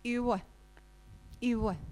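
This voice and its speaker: A woman acting a doubtful, stubborn flat buyer who haggles and repeats herself, with an Argentine accent, in an improvised radio drama. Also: fairly high pitch, a little slow, natural voice. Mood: doubtful, insistent. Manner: repeats words insistently. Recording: faint mains hum.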